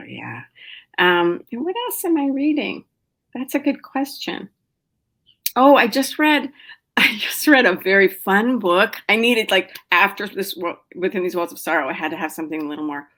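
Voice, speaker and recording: A woman's voice: sits low, a bit bright. A middle-aged woman novelist chatting as a podcast guest, with lots of fillers, restarts and small laughs. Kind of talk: casual interview chat.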